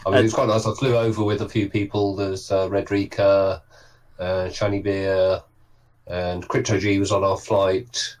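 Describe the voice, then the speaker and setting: Male guest, low and hoarse, relaxed talker who goes on at length. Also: normal speed, slight background noise. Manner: chatty and easygoing, recounting at length. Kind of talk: casual conversation.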